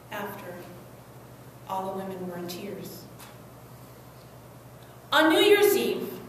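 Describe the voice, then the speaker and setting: An anguished, resolute migrant mother played by a young woman tells her story as a staged dramatic monologue. Her voice is high and swings expressively, with slow, deliberate phrasing. It comes from a distance in a very echoey room. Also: exaggerated voice. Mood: traumatized, urgent. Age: young adult. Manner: earnest, emotive, deliberate phrasing with dramatic pauses. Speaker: student performer. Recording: very echoey room, distant mic, faint noise.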